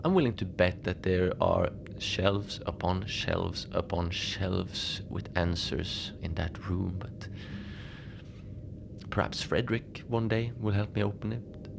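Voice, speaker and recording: A man playing a mild, lonely Antarctic station manager in a cozy horror audio drama, speaking in a hesitant, understated deadpan as he logs his shift. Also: low voice, slow pace, gravelly, full character voice. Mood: calm, matter-of-fact. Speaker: narrator of a fiction podcast. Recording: noisy ambient bed behind the voice.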